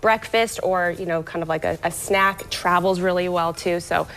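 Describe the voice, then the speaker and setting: A woman's voice: lowish voice, fairly even intonation, normal speed, fairly bright tone. A woman guest on a TV lifestyle show, upbeat and explanatory as she walks through health tips in a studio. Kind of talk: TV morning show interview.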